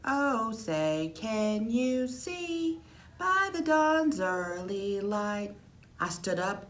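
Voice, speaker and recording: A woman's voice: medium pitch, fairly bright tone, a little hiss or hum, low-quality recording. A woman reading a children's story aloud as a teacher would, narrating in the first person.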